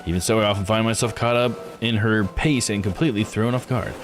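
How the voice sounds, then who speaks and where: A man's voice: on the low side, steady tone, fast talker, close to an everyday voice. Man reading a composed, formal investigator, music behind. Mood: composed, reflective. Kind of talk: visual novel character read aloud. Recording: game music under the voice.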